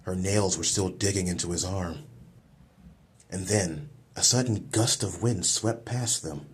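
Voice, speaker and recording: A man's voice: deep voice, measured pace, slight background noise. A man reading scripted, dramatic action-fantasy narration as an audiobook narrator.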